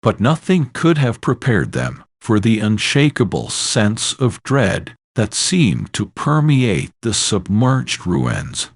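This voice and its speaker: Slow, deep-toned male horror narrator. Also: wildly swooping pitch, no room sound. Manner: ominous, dramatic delivery.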